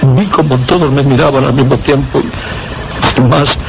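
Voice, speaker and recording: A man's voice: medium pitch, fairly even intonation, gravelly, noisy background. An actor playing a haunted, confined man who halts and repeats himself in a Spanish suspense radio drama, with a Castilian accent. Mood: mounting panic. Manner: agitated, halting, repeating words. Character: haunted confined man recounting horror, insisting he is harmless.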